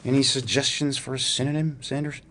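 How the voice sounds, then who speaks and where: An actor playing a wry, probing academic research professor in a radio drama, with a dryly sardonic, precise way of talking. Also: male voice, medium pitch, flat delivery, fairly quick, pushed and bright, slight background noise, close to an everyday voice. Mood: serious, exacting. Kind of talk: radio theatre acting, dramatic dialogue.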